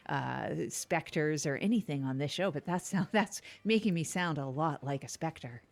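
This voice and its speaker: A woman's voice: sits low, lively intonation, moderate pace. A woman co-hosting a comedy podcast, improvising in an earnest, rambling way. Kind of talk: improvised comic banter.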